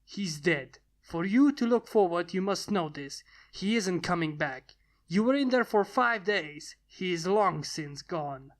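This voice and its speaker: A woman's voice: on the low side, lively intonation, a little slow. A woman voicing a stern, blunt male lord who speaks solemnly and commandingly in a fantasy story.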